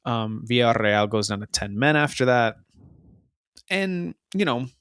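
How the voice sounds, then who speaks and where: A man's voice: mid-range voice, expressive, unhurried, a bit bright, clear, resonant tone, dry recording, studio quality. A man co-hosting a casual soccer podcast, chatting through match recaps in a rambling, easygoing way. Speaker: podcast co-host.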